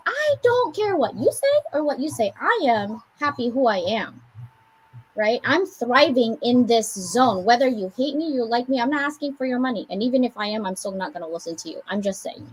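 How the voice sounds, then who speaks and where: Woman, American accent, raised from the Philippines; husky, slightly high voice; motivational co-host with jokes. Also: rough recording. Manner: animated, preachy pep talk with joking asides. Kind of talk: casual group chat, motivational.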